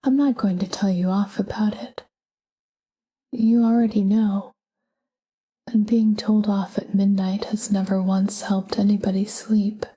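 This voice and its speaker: A woman whispers a guided sleep meditation with a very breathy, airy voice. Her delivery is slow and calm, staying almost flat in pitch. She speaks gently, in short phrases with room between them. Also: rough recording.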